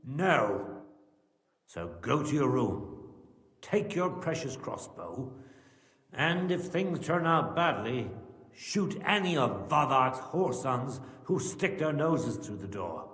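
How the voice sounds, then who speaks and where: Defiant, slow-spoken medieval lord. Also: male voice, medium pitch, very animated, a bit bright, breathy edge, exaggerated voice. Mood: stern, protective. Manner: commanding, measured, sardonic. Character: defiant middle-aged medieval lord and protective father. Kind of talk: dramatic video game acting.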